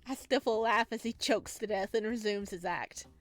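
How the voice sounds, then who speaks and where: A woman's voice: mid-range voice, normal speed. A woman playing a visual novel, reading it aloud and reacting in a chatty, informal way as she acts out the lines. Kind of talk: casual let's play, reading dialogue aloud with commentary. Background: faint music.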